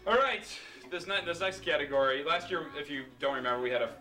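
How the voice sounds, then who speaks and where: Guy reading out silly awards to his buddies, kinda high voice, teasing, caught far off in an echoey room. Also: expressive, quick pace, bright, forceful tone. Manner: teasing, laughing often. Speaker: emcee handing out group awards. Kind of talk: casual joking award announcements. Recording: echoey, from far off on a home camcorder.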